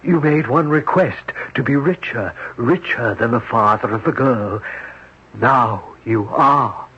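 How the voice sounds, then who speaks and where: Unearthly wish-granting manservant, slow and husky, on an old radio play. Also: male voice, medium pitch, heavily acted. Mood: sinister calm. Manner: slow, measured and formal. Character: otherworldly male servant granting wishes, calm. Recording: dull old radio broadcast.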